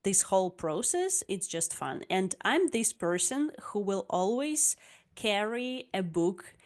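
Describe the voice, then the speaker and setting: A woman's voice: medium pitch, very animated, slow. A woman, an online English tutor talking casually to her viewers. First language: Russian. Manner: chatty and personal, with frequent "like" and "uh". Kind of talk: casual talk to camera.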